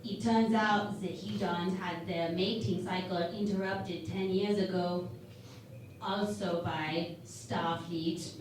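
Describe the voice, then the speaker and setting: A woman playing an earnest, analytical starship science officer in a sci-fi parody radio play, speaking deliberately and matter-of-factly. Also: lowish voice, steady tone. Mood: solemn. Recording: very echoey room, distant mic, faint noise.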